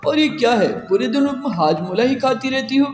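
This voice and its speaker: A man voicing a young bird husband in a cartoon, earnest and emphatic, caring but quick to scold. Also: extremely high pitch, expressive. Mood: angry. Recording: echoey reverb, faint music behind.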